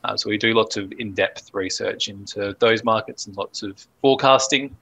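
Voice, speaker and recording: A man in his thirties with an Australian accent, speaking as a guest on a video call and explaining things in a relaxed, steady way. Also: fairly low pitch, moderate pace, creaky, slightly rough, rough recording. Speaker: podcast guest, fertilizer analyst. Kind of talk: casual interview talk. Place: home office on video call.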